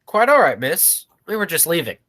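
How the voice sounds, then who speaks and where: A man's voice: a little high, big pitch swings, moderate pace, bright, forceful tone, heavily acted. Courteous, reserved circus manager played by a man. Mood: polite. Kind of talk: 1940s radio play acting.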